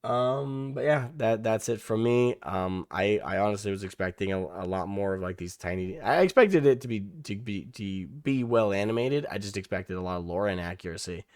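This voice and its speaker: A young man hosting a reaction video, losing his voice and hoarse, rambling casually with many restarts and the odd swear. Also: lowish voice, moderate pace, few pauses, a little mellow, no room sound, clean studio sound.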